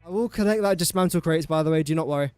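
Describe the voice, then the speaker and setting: A young English man with a slightly high voice and a dry, close microphone sound. He chats casually through a live game stream, teasing himself and talking to the objects he places. Soft game music sits far in the background. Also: rapid-fire, clean tone, no room sound. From England. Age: young adult. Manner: self-deprecating, talks to the objects he places. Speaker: gaming streamer. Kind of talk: casual live gameplay commentary.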